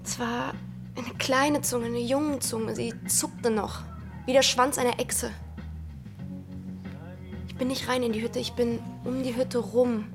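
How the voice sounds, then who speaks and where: A woman's voice: a little high, fairly even intonation, measured pace, pauses often, music in the background. A young actress playing a restless, brooding village girl in a radio drama, speaking in intense, fragmented phrases. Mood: dreamy, haunted.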